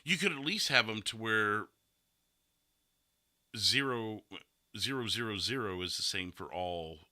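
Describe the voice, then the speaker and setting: A man's voice: lowish voice, lively intonation, a little slow, pushed and bright, no echo, studio quality. A man hosting a casual livestream podcast, chatting in a rambling way and reading out viewer comments. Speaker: podcast host and streamer.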